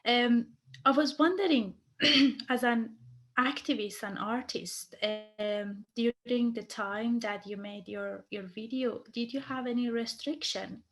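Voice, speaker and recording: Iranian-accented woman, really slow and hesitant, gravelly voice, lots of ums. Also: fairly high pitch, a bit bright. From Iran. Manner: hesitant, halting, with many fillers. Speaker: audience member asking a question.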